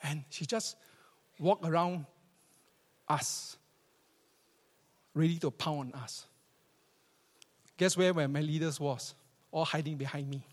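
A man's voice: fairly high pitch, slow, pauses often. A man with a Singaporean accent preaching a church sermon as a pastor, emphatic and repeating phrases for effect. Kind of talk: church sermon with scripture reading and storytelling. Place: large church hall, preaching to a congregation. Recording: reverberant hall.

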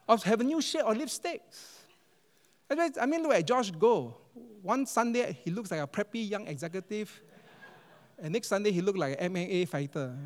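A man with a Singaporean English accent preaching a sermon as pastor, animated and emphatic, repeating phrases for effect. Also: high-pitched. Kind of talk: sermon to a congregation. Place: church auditorium. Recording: long reverb of a large church hall.

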